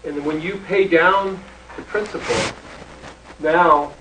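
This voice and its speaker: A man's voice: a little high, fairly even intonation, slow pace, noisy background. A man in the audience explaining a point step by step. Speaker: audience member. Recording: echoey room from far off.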